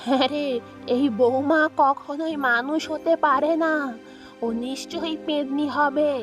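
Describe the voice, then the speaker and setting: Woman as high-voiced, frightened mother-in-law. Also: fairly even intonation, unhurried, over music. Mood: fearful, suspicious. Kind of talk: children's cartoon voice acting.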